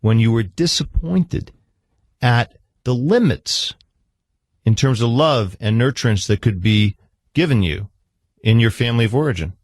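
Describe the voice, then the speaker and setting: A man talks like a therapist in a one-on-one session, at a middle pitch and with a dry, close sound. He keeps probing with measured, persistent questions. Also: lively intonation, no echo. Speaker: therapist counselling a guest. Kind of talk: counselling conversation.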